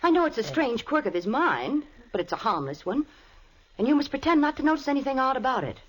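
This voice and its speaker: An actress in a 1940s radio drama playing the nervous young wife of a reclusive professor, flustered and pleading. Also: female voice, high voice, very animated, fast talker, highs cut off. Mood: earnest.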